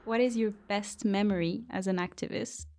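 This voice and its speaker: A woman's voice: mid-range voice, steady tone, moderate pace, over music. A woman asking podcast interview questions as the interviewer.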